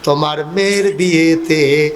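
A man with a Bangladeshi accent preaching an impassioned Islamic sermon, telling a religious story in an emotive, half-chanted way with drawn-out phrases. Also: a little high.

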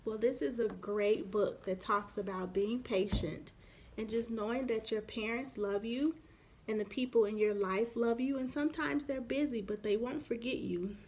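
A woman in her thirties reading a picture book aloud expressively for young children, pausing for explanatory asides. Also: on the high side, a little mellow, slight background noise. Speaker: children's story reader. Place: small room at home, indoors.

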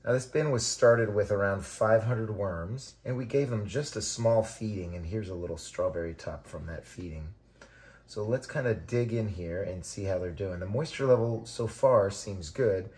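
A man hosting a composting tutorial, talking casually and relaxed as he works with his hands and shows what he is doing. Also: deep voice. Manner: relaxed, conversational, talks while working. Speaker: vermicomposting channel host.

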